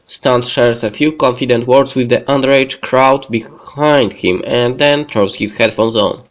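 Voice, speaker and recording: A man's voice: medium pitch, fairly even intonation, measured pace. A man reading the narration of a visual novel aloud in a let's play style. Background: game music.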